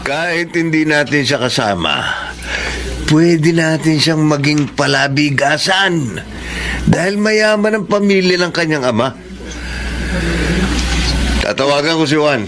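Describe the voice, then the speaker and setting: A lazy, sly schemer of a stepfather in a Filipino radio drama. He gripes brazenly with a widely swinging pitch, and there is clear noise behind him. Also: animated, a little slow, pauses often. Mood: scheming. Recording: noisy background.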